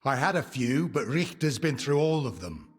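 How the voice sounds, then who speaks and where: An actor playing a businesslike leader of armed men in a video game, with a husky, authoritative, matter-of-fact delivery. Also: male voice, medium pitch, very animated, normal speed. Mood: explaining. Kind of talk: video game dramatic acting.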